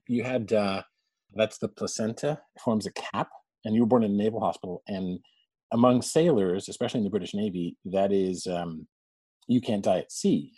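A man's voice: fairly low pitch, dark tone, husky, no room sound. A man in his forties, a guest telling family stories in a chatty, laughing way. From born in Canada, raised abroad. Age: 40s. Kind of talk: casual storytelling interview.

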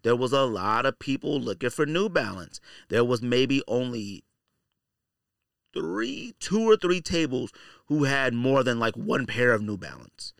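A middle-aged man hosts a casual podcast with a bright, forceful voice and very lively pitch. He rants in slangy, opinionated bursts and hammers points by repeating them. The sound is dry and close. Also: measured pace, vocal fry, no echo. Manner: animated ranting, slangy, repeats points for emphasis. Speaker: podcast host.

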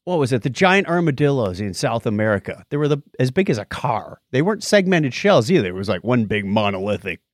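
A man co-hosting a podcast, chatting casually and recounting things in a rambling, animated way. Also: mid-range voice, brisk, few pauses, no room sound, clean studio sound. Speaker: podcast co-host. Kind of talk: casual podcast chat, recounting a nature documentary.

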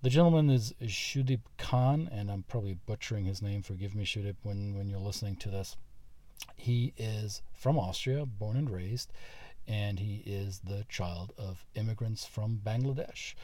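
A man hosting a conversational podcast interview, German his first language. His voice sits low with a mellow, relaxed tone. He talks in a chatty way, dropping self-deprecating asides. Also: moderate pace, dry recording. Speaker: podcast host.

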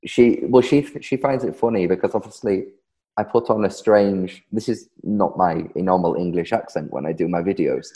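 A man's voice: low-pitched, moderate pace, dark tone. A man with a broad Geordie accent, a guest on an interview, speaking modestly and laughing often. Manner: modest, laughs often, self-described shy. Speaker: guest, video creator. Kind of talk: conversational interview. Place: living in southern Spain.

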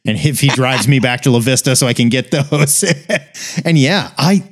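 A man's voice: medium pitch, moderate pace. An American man in his forties chatting casually as a podcast co-host, with an emphatic, informal way of talking. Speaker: podcast co-host reviewing comics. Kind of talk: casual chat.

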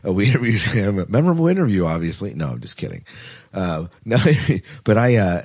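A man's voice: deep voice, big pitch swings, a little fast, a little mellow, breathy edge, dry recording, clean studio sound. A man hosting a podcast in a casual, playful, jokey chat style. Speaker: podcast host.

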